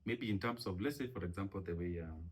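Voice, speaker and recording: A Kenyan man hosts a relaxed interview, his voice low and a little husky. He talks quickly and nudges his guest along with questions that restart midway. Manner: prompting questions with loose restarts. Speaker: podcast host. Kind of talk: casual interview.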